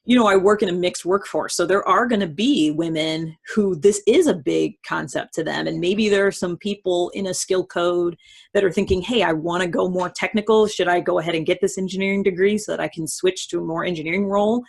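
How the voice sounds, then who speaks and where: A woman with a husky voice speaking as the host of a conversational one-on-one discussion. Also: on the low side, fairly quick. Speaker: host of a personality-type dialogue series. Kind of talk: conversational interview.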